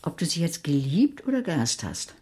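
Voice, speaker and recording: A woman's voice: deep voice, big pitch swings, normal speed, a little mellow, husky, heavily acted. An actress playing a blunt, sarcastic woman who narrates and looks after a sick man in a radio drama. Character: sharp-tongued woman caring for a sick man. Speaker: narrator and main character Carla.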